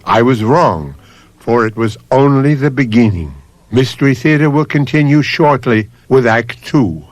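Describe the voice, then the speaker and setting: A man hosting a radio mystery show, narrating from a script in a measured, dramatic storytelling manner. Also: medium pitch, lively intonation, no room sound. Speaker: host and narrator.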